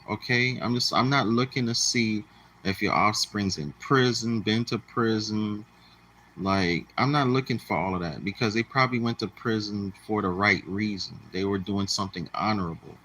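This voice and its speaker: A man close to fifty talks bluntly to his audience, repeating his points like a lecture. His voice sits on the low side but carries a bright, forceful edge. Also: poor audio. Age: 40s. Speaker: channel host. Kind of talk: casual monologue to the camera.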